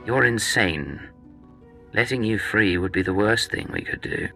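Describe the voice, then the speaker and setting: An actor playing a confident imperial secret agent in a video game, with a curt, sardonic delivery. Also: male voice, lowish voice, expressive, measured pace, sharp tone, exaggerated voice. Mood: dry, defiant. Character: dry, confident male secret agent of an empire. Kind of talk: video game dialogue acting. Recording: music behind the voice.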